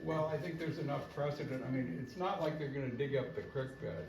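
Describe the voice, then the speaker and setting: A man's voice: medium pitch, fairly quick. A man running a public meeting, answering a question hesitantly with many restarts. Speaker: meeting organizer. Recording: echoey room, distant mic, audible noise.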